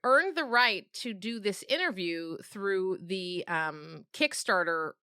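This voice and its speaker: A woman's voice: medium pitch, expressive, slow pace, sharp tone, clear, resonant tone, dry recording, studio quality. A woman podcast creator chatting casually and informally, as if to friends. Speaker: podcast creator and host, being interviewed. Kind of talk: casual chat.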